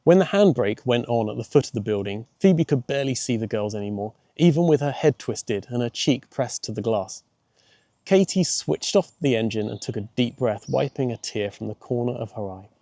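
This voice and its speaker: A man's voice: mid-range voice, expressive, normal speed, dark tone, dry recording, studio quality. A man reading a children's audiobook as its narrator, in scripted storytelling style.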